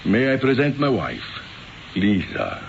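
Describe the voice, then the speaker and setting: An actor playing an insistent, haggling silk merchant and doting husband in a radio drama, with a gravelly voice and a fussy, emphatic manner. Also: male voice, on the low side, wildly swooping pitch, unhurried, full character voice. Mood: formal, put out. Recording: dull, hissy vintage radio recording.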